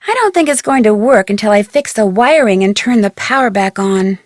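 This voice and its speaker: A young American woman playing a curious, chirpy amateur detective in an adventure game, thinking aloud with clear enunciation. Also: mid-range voice, expressive, fairly quick, no room sound, clean studio sound, lightly acted. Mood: inquisitive. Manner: clearly enunciated, inquisitive musing to herself.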